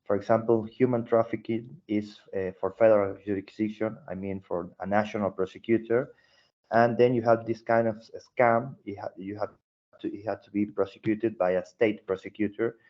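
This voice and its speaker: A man from Argentina with an Argentine Spanish accent, haltingly putting a question in an online meeting. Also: fairly low pitch, steady tone. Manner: halting, repeats words. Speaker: meeting participant asking a question. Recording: dull, lo-fi online call.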